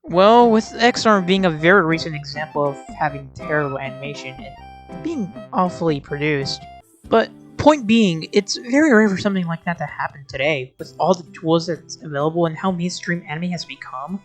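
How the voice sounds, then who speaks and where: A woman's voice: low voice, expressive, moderate pace, faint music behind. A woman giving scripted video commentary as an anime reviewer, in an informal, sarcastic way.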